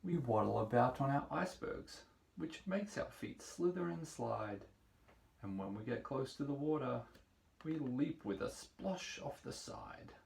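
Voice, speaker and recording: A man's voice: medium pitch, expressive, measured pace, gravelly, darkish tone, a little hiss or hum. A man voicing a playful, waddling penguin from a picture book in a bouncy manner. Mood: playful, excited. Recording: roomy echo, distant microphone.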